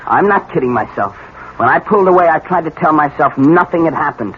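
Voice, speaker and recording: An actor playing a scared, resentful young son in a 1970s radio drama. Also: male voice, on the high side, expressive, a little fast, breathy edge. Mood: frightened. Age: young adult. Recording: tinny, lightly noisy old radio broadcast.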